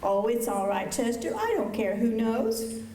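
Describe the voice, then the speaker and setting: Woman playing a coy, high-voiced frontier flirt, echoey. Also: big pitch swings, unhurried, dark tone. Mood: flirtatious. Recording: echoey auditorium with slight noise.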